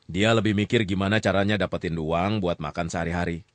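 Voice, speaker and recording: A man playing the storyteller of a radio serial, narrating conversationally with a measured, teasing manner. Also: low-pitched, steady tone, no room sound, lightly acted. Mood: storytelling.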